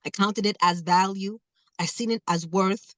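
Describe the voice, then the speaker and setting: A woman around forty delivers spoken word in a rhythmic, emphatic cadence, leaning into each line. Her voice sits at an ordinary middle pitch. The recording is dry and close, with little room sound. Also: moderate pace, no room sound. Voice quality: says she stutters, not heard. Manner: rhythmic, emphatic poetry delivery with laughs between phrases. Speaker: guest, spoken word poet. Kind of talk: performed spoken word poem, then casual interview talk.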